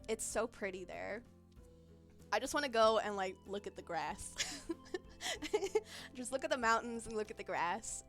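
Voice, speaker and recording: A woman's voice: a little high, animated, unhurried, pauses often, fairly bright tone. A young woman streamer chatting casually with her chat in a giggly, rambling way, full of fillers. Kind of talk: casual livestream chat, reading chat. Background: faint background music.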